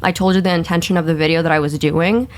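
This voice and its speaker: Young woman, American, slightly low voice, candid and chatty podcast host who tells stories freely. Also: quick pace. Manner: candid, animated storytelling with quoted asides and heavy swearing. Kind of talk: casual podcast chat.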